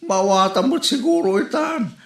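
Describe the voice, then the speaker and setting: A man's voice: high-pitched, lively intonation, moderate pace. A man with an Ilocano accent playing a teasing, advice-giving older father in a comedy audio drama. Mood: concerned, probing. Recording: roomy echo, music underneath.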